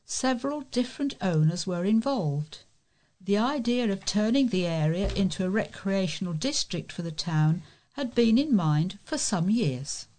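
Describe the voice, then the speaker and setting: A woman's voice: medium pitch, lively intonation, unhurried, darkish tone. English woman reading out local news. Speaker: volunteer newsreader. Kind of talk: reading old local news items aloud with informal asides.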